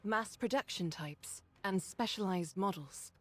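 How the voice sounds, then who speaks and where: A woman's voice: mid-range voice, soft background music, natural voice. Composed woman squad leader acted, formal briefing. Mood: composed, explanatory. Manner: measured, authoritative, formal. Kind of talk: game cutscene voice acting, expository briefing.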